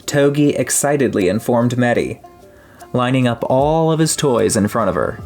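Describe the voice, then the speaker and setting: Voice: mid-range voice, lively intonation, normal speed, darkish tone. An audiobook narrator reading a scripted story in prose. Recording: music behind the voice.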